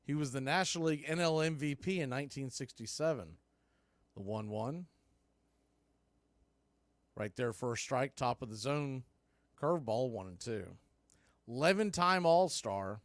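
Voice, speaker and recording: A man's voice: medium pitch, unhurried, pauses often, no echo. Man calling baseball play-by-play. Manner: conversational, rising on big plays. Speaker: play-by-play announcer. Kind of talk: radio play-by-play commentary.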